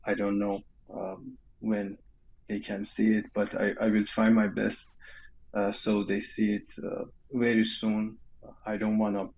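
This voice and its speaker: A man from Iran with a Persian accent, answering interview questions haltingly with many fillers and shy laughs, heard over a video call from home. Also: lowish voice, completely flat delivery, a little mellow, dull audio, slight background noise. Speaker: guest animator.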